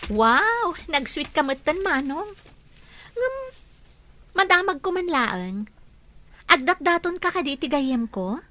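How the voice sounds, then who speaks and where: A woman's voice: high voice, big pitch swings, slow, pauses often, sharp tone, heavily acted. A woman playing a sweet, helpful and agreeable younger woman in an audio drama. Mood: teasing, supportive. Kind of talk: amateur audio drama acting.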